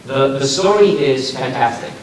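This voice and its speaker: A man's voice: mid-range voice, lively intonation, normal speed. A man from Argentina talking informally on a panel, unscripted, often checking in with his listeners. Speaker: comics marketer and panelist. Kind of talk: casual unscripted panel talk. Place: echoey room, recorded at a distance. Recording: very echoey room, distant mic, faint noise.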